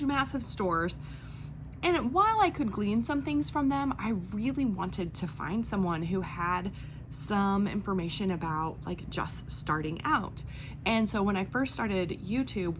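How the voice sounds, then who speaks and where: A woman in her forties chatting casually in a vlog, rambling and self-deprecating as she goes. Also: on the low side. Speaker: video creator, online clothing reseller. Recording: clear background noise, room ambience.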